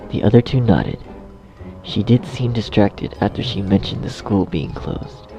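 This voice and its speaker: A man's voice: fairly low pitch, a little slow. A man narrating a scripted story, recorded slightly dull. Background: faint music.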